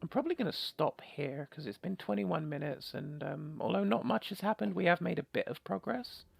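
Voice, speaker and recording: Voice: mid-range voice, expressive, a little fast, creaky, husky, no echo. A self-deprecating, apologetic game player giving informal let's play commentary and reading story text aloud. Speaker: gaming video host.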